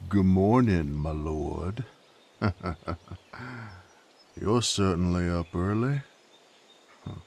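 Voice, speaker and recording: Gruff, hot-tempered rustic knight, played by a man, audio drama; unhurried drawl, gravelly, sardonic. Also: low-pitched, a little mellow, slight background noise, heavily acted. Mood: wry, teasing. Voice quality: gravelly, husky. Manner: folksy drawl with rustic slang. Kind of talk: character audio roleplay acting.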